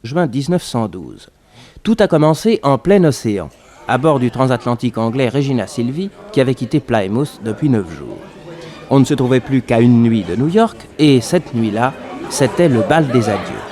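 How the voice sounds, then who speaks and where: An actor narrating a 1960s French radio drama as a sober storyteller setting the scene. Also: male voice, medium pitch, big pitch swings, normal speed, a little mellow, close to an everyday voice. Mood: measured. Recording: old radio drama with crowd noise.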